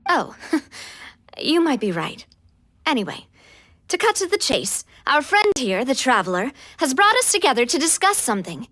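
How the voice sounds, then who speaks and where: Bubbly, high lively young leader. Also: female voice, normal speed, no echo, clean studio sound. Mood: playful. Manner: lively, playful, laughs often. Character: bubbly young woman gang leader, sociable host.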